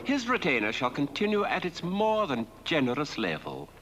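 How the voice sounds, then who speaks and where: An actor playing a suave, sardonic lord who delivers a film monologue with formal, mock-affectionate deliberation. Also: male voice, on the high side, huge pitch swings, moderate pace, a bit bright, a little hiss or hum. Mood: smug, sardonic. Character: sardonic, suave dead lord reading his own will.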